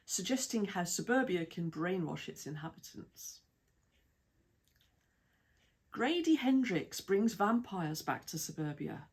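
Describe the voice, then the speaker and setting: Woman, British accent, breathy, mid pitch, measured reading of an article. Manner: measured, even reading with lists of titles and dates. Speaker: reader of an article. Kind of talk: read-aloud essay narration.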